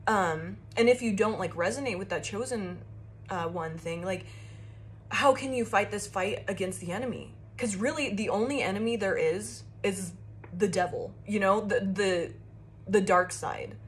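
A young woman doing a casual tarot reading to her phone camera in a small room, with a loud, carrying voice and a rambling, profane way of talking full of fillers. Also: medium pitch, a little hiss or hum. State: shaky. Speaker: tarot reader.

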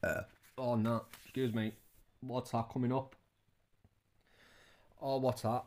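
A man's voice: mid-range voice, little pitch movement, slow, darkish tone. A man in his thirties giving casual, scornful reaction commentary, often repeating his questions. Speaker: reaction video host.

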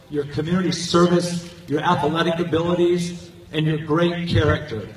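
A man's voice: on the high side, a little hiss or hum. Older man speechmaking over echoey distant PA. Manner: earnest, measured public delivery in short declarative phrases. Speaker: high school coach and teacher giving a graduation speech. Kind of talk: prepared commencement speech. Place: outdoor football stadium, recorded from the stands. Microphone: podium microphone over PA, captured by distant handheld camera. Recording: echoey stadium PA heard from far off.

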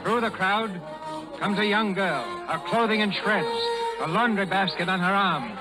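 A man's voice: high voice, normal speed, over a noisy crowd, rough recording. A man announcing and narrating a 1930s radio drama in a theatrical, declamatory style. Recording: old 1930s radio broadcast, loud music.

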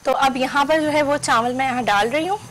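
A middle-aged woman with a high voice walks viewers through a recipe on a studio kitchen set, speaking into a lapel mic. She explains each step in a chatty, instructive way, with faint noise behind her. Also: a little fast, a bit bright, rough recording. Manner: chatty, instructive, mixes in English terms. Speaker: cooking show host. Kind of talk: step-by-step cooking demonstration.

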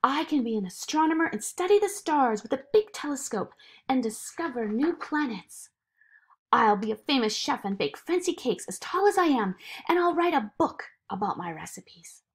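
Storybook little girl, acted by a woman: eager, boastful, defiant, high-pitched with big melodic swings. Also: animated, moderate pace, husky, exaggerated voice. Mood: proud, determined. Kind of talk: animated picture-book read-aloud.

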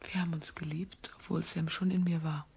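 A tender, worried wife and mother in a radio play. Her voice is husky and breathy, with a gravelly fry at the edges. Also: fairly low pitch, level tone, brisk, darkish tone, full character voice. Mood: hushed, confiding. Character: tender, anxious wife and mother in London suburb. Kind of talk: German radio play acting.

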